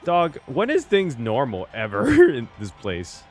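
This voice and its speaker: A man's voice: a little high, huge pitch swings, unhurried, over music. A man giving casual, profane, slangy reaction commentary as he plays a game. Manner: slangy, blunt, reacting aloud. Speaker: gaming reaction streamer.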